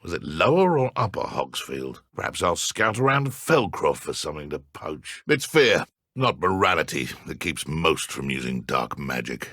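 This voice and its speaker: Sneering British dark wizard. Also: male voice, rumbling low, sing-song, normal speed, no echo, studio quality, heavily acted. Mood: idle grumbling. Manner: menacing, mocking, smug. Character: sneering, ruthless male dark wizard, thug and poacher. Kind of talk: video game combat and idle voice lines.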